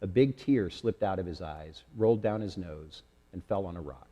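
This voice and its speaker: Husky, mellow man reading in a reverberant hall. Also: deep voice, normal speed. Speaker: storyteller reading aloud. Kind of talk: slow dramatic children's storybook reading. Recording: echoey hall with long reverb.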